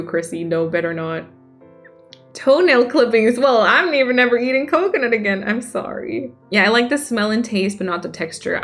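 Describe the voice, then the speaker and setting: A woman's voice: mid-range voice, fairly bright tone. A young woman from Denmark, chatting casually as she reads out and answers viewer messages on a stream. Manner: chatty, reacts aloud to chat. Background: faint music.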